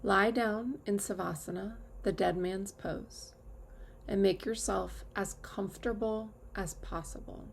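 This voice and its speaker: A woman guides a meditation at a very slow, unhurried pace. Her mid-pitched voice is heavily creaky, and she gives calm, measured instructions with long pauses. There is faint noise behind her. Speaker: yoga nidra teacher.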